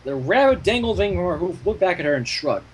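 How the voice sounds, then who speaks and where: A man reading fan fiction aloud as an expressive narrator, with casual asides. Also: high voice, brisk, husky, poor audio.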